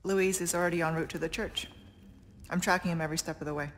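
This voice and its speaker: A woman plays a cool, guarded spy from a cinematic video game. Her voice sits low, clipped and composed, with clearly audible ambience behind it. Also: fairly even intonation, fast talker, a little hiss or hum, close to an everyday voice. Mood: businesslike.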